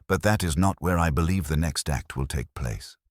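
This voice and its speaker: A man reading the part of a terse, brooding Victorian detective in an audiobook, grave and deliberate. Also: very deep voice, dry recording, studio quality. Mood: terse, focused. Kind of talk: audiobook character dialogue.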